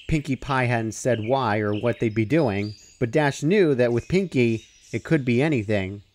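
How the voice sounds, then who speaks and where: A man's voice: medium pitch, normal speed, darkish tone, a little hiss or hum. A man reading a story aloud as a scripted narrator. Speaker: fan fiction narrator.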